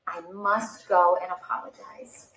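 A woman's voice: on the low side, fairly even intonation, a little slow, a bit bright, echoey room, rough recording. A woman voicing a small, good-natured male snail in a storybook, speaking earnestly and with pride. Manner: earnest, proud.

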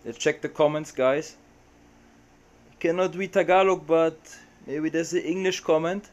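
A young man from Germany with a German accent, talking in an excitable, casual vlog style from a small room, close to the camera. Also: fairly high pitch, measured pace, dull audio. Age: young adult. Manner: excitable, mixes in Tagalog words. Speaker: vlogger.

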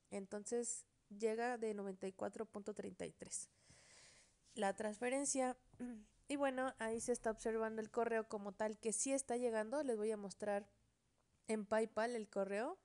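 A woman's voice: on the high side, a little mellow, smooth. A woman with a Mexican accent giving a casual, plain, step-by-step narration for an app tutorial video.

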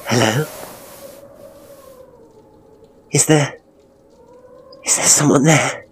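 A man voicing a gentle, devoted young woman in a ghost story, soft, breathy and hesitant. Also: mid-range voice, unhurried, pitched above the performer's own voice. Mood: anxious, hushed.

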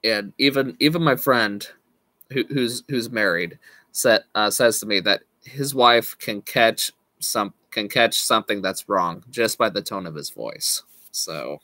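A man in his thirties chatting casually with viewers on a live stream from a small room at home, slowly losing his voice and speaking in halting restarts. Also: fairly low pitch, animated, bright, forceful tone, dry recording. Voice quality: slow, halting, with frequent restarts and laughs. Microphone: webcam at desk.

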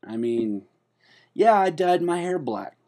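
A man playing a defensive, self-important character in a comedic spoof monologue, talking in a hesitant, rambling way with deadpan earnestness. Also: fairly high pitch, takes it slowly. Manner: hesitant and rambling, full of fillers and restarts; deadpan, earnest delivery of absurd claims.

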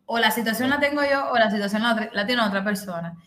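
Animated Cuban woman, high bright voice. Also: fast talker, roomy sound. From Cuba. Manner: animated storytelling, acting out the other people in the story. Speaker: host. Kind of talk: casual chat on a video call. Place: bedroom, indoors. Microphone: video call.

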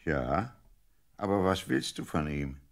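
A man's voice: sits low, sing-song, takes it slowly, gravelly, exaggerated voice. An actor playing an anxious, grave old father in a biblical German radio play. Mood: wary. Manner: worried, grave.

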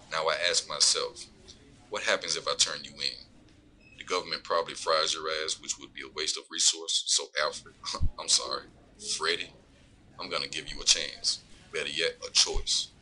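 A man's voice: rumbling low, fairly even intonation, normal speed, sharp tone, a little hiss or hum, heavily acted, low-quality recording. A man playing a smug, corrupt lawman in an audio drama, taunting and profane as he coolly threatens his informant. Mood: smug menace. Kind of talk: scripted audio drama dialogue.

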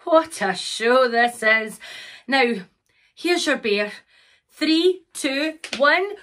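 A woman's voice: high voice, animated, extremely slow, noisy background, heavily acted. A woman voicing a bumbling male magician pony in a children's storybook, with showy, flustered patter. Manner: blustering showman patter. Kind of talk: children's picture book read-aloud.

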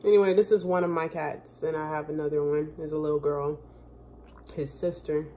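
A woman's voice: low voice, little pitch movement, normal speed, warm tone, slight background noise. A woman in her twenties chatting casually to her phone camera in a home kitchen, rambling with lots of fillers. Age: 20s. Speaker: vlogger. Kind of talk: casual chat. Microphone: phone camera propped close on the counter.

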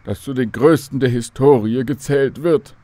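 A male actor plays a knowledgeable man in a children's radio drama. He explains in a precise way at a middle pitch, in a dry studio sound. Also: normal speed, mellow, no room sound, lightly acted. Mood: informative.

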